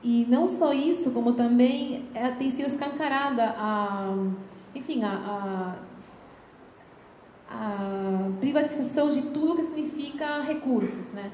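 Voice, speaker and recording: A young woman speaks as a seminar guest, her showing as she talks in an earnest, searching way full of restarts. Her voice sits a little high and mellow. The sound comes from an echoey lecture room with a faint hiss behind it. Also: unhurried. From Chile. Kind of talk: lecture talk.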